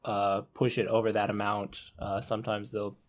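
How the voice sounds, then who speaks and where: A man's voice: on the low side, little pitch movement, normal speed. A man talking casually as a panelist in a podcast discussion.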